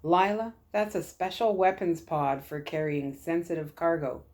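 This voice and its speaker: A woman voicing a calm, kindly military lieutenant in a story, gentle and earnest. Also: lowish voice, normal speed, close to an everyday voice. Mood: hushed, serious.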